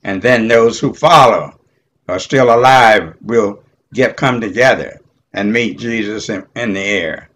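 An elderly man teaching Bible study in a halting, deliberate way, punctuated with amens. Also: lowish voice, a bit bright. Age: 70s or older. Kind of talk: informal Bible teaching with scripture reading.

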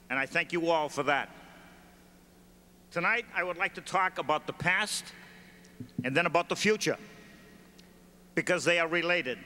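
Older man speaking in an echoing hall. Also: on the high side. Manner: measured, reads from notes, lists in steady cadence. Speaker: commencement speaker, former school staff. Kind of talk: formal commencement address. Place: large gymnasium stage at a podium. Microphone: podium microphone. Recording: reverberant hall with faint ambience.

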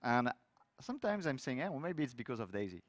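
A middle-aged man from France with a French accent, giving a technical talk on a conference stage with loose, lively phrasing and many fillers. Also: medium pitch, fast, dry recording, studio quality. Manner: loose, lively delivery with frequent fillers and restarts. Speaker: conference speaker. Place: large conference hall stage.

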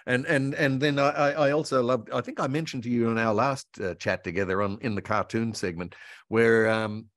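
An older man reminiscing casually as a guest, in a halting, meandering, enthusiastic way. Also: mid-range voice, steady tone, fairly bright tone, no room sound. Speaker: guest voice actor and author. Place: indoor room at home, on a video call. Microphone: video call.

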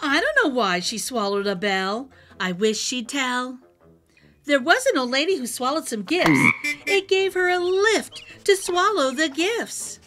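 Very high, animated woman reading a storybook. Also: unhurried. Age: middle-aged. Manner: animated, sing-song delivery for young children. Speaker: children's book read-aloud host. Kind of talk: expressive rhyming storybook narration. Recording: music behind the voice.

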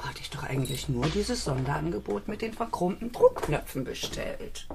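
A woman's voice: fairly low pitch, animated, moderate pace, gravelly, background noise. An actress playing a scatterbrained middle-aged woman who dithers and talks to herself in a children's radio play. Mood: grumbling. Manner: dithering, self-addressing, exclaiming.

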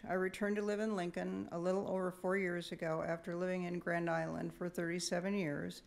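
An older woman from Nebraska giving public comment in a large hotel meeting room, introducing herself in a measured, formal way. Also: fairly low pitch, gravelly.

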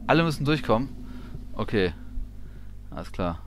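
A man's voice: mid-range voice, big pitch swings, normal speed, noisy background. A man streaming a game live, chatting and grumbling as he thinks aloud. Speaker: gaming streamer. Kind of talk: casual live gameplay commentary. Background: game music.

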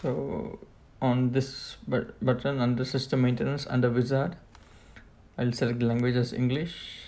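Male tutorial presenter, gravelly mid-pitched voice, plain step-by-step product walkthrough. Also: steady tone, moderate pace, dark tone, slight background noise. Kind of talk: hands-on product setup walkthrough.

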